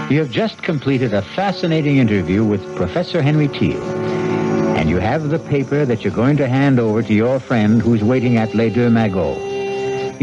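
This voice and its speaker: A man narrating a radio drama in measured second-person voice. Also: medium pitch, lightly acted. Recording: dull, muffled old radio recording.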